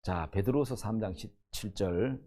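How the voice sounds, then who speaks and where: A man's voice: fairly low pitch, a little slow, warm tone. A man in his sixties, a pastor teaching the Bible in a sermon, emphatic and often posing questions that he answers himself. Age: 60s.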